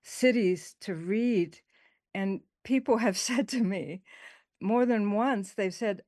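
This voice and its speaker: A middle-aged woman poet talking in an interview, soft-spoken and halting, with frequent fillers and small laughs. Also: medium pitch, no room sound, studio quality. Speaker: poet and author, interview guest. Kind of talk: casual interview conversation.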